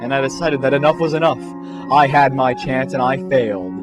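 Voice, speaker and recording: A man's voice: medium pitch, brisk, natural voice. Man playing a huge, rambling pony robber villain. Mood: ominous. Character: huge menacing male pony robber, self-pitying. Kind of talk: comic fan-fiction storytelling. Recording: music behind the voice.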